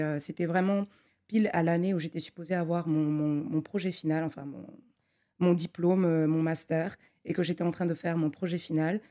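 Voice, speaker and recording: Low mellow-voiced woman architect guest. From Togo. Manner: thoughtful, many fillers and restarts. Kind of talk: conversational interview.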